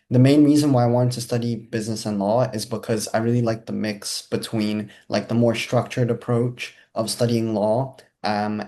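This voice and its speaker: A young man who grew up in Hong Kong, a second-year student explaining his choice in a measured way over a video call. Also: lowish voice, a little mellow. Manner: measured, uses "like". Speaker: student panelist.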